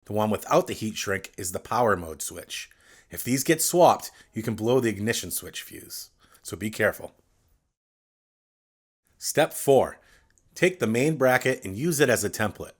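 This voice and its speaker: A man with a fairly low voice narrates a scripted installation tutorial. He speaks straight to the viewer in a clear, instructional way, going through things step by step. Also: big pitch swings, normal speed.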